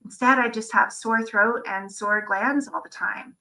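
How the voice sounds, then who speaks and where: A woman's voice: a little high, fairly even intonation, a little slow, bright, forceful tone, rough recording. A woman giving a live conference presentation from her slides, talking about her own experience in a candid, personal way.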